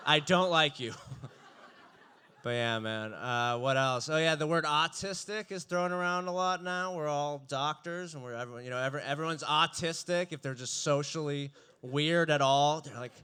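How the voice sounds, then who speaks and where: A young man doing a stand-up comedy set, with a dry, deadpan delivery that breaks into laughs. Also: a little high, normal speed, clear, resonant tone.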